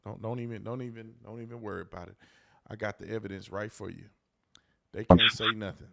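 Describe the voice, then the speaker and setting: A man's voice: fairly low pitch, steady tone, a little fast, creaky, a crowd in the background. An American man hosting an informal, emphatic commentary, repeating phrases to drive his points home. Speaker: host commentator, former police officer.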